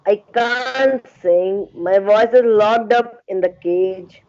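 A young amateur performer reading gentle, courteous roles in a radio skit, deliberately and from a script. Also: mid-range voice, close to an everyday voice. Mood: sad, pleading. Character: sad caged bird, and a courteous young prince. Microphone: video call. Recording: dull lo-fi video-call audio.

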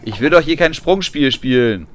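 A man's voice: medium pitch, lively intonation, normal speed, a little hiss or hum. A man giving casual, colloquial and often grumbling commentary while playing a video game. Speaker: gamer doing a let's play.

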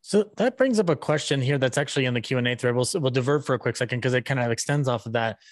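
A man's voice: mid-range voice, quick pace. A man in his thirties hosting a casual interview on a close microphone, chatty and stumbling over his phrasing. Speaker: podcast host. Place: small room, indoors, on a video call. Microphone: close podcast microphone on a boom arm.

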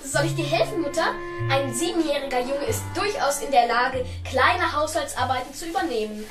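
Well-behaved seven-year-old boy played by a woman, high-pitched, courteous, formal diction, for children's radio drama. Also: animated, a little fast. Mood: polite, earnest. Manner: formal, precise, overly courteous. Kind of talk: children's radio play acting. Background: music.

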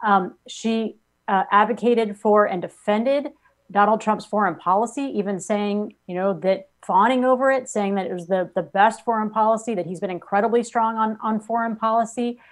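An American woman in her forties giving earnest, halting political commentary as an interview guest on a video call. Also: mid-range voice. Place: small home office on a video call. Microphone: video call at desk distance.

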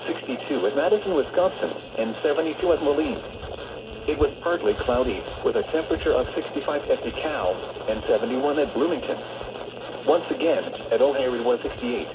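A man's voice: medium pitch, gravelly. A synthetic male announcer voice reading a scripted weather forecast in a formal, clipped way over weather radio. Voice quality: synthetic text-to-speech voice. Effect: weather radio broadcast. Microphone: radio speaker picked up by camera microphone. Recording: muffled, noisy weather radio playback.

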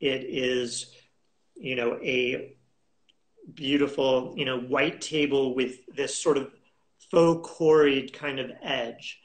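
A man in his thirties talking as a guest in a video call interview, unhurried and halting with many fillers and restarts. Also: mid-range voice, fairly even intonation. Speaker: auction house specialist, guest. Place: home room indoors. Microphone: video call webcam.

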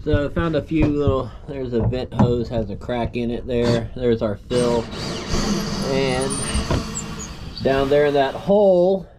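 A man in his thirties casually explaining boat repair work as he goes, easygoing and quick to laugh, filmed on an action camera inside a small boat cabin. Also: mid-range voice, noisy background. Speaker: boat restoration vlogger. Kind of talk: casual hands-on narration.

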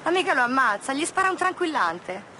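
An actress playing a breezy, overconfident police lieutenant in charge, chummy and blithely reassuring. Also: female voice, sits high, sing-song, brisk, bright, forceful tone, a little hiss or hum, exaggerated voice.

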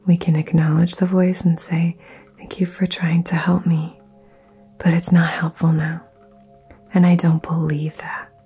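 Woman, ASMR host, soft breathy whisper, unhurried, mellow tone, faint music underneath. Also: fairly low pitch, level tone. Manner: soft, slow, soothing whisper. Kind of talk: whispered ASMR affirmation session.

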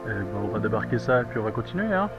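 A man's voice: fairly low pitch, very fast, dull audio, over music. A man vlogging his solo kayak trip, talking casually to the camera outdoors by a river, thinking aloud and swearing now and then. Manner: relaxed and chatty, thinks aloud, swears casually, many fillers. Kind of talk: casual vlog narration to camera.

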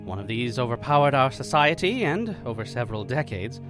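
A man's voice: mid-range voice, sing-song, brisk, music in the background, natural voice. A man playing an earnest alien spider revolutionary in an audio drama, speaking formally. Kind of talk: audio drama panel discussion.

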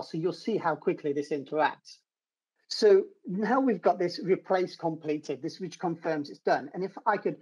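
Husky British man giving a demo. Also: a little high, darkish tone, lo-fi sound. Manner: explanatory, step by step. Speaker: developer advocate giving a technical demo. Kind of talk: informal screen-share tutorial. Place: home room, indoors.

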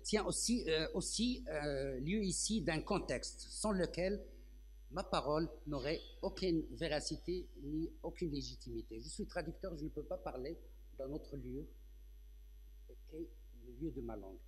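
A man speaking as a translator on a round-table panel, in measured, deliberate statements. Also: fairly high pitch, rough recording.

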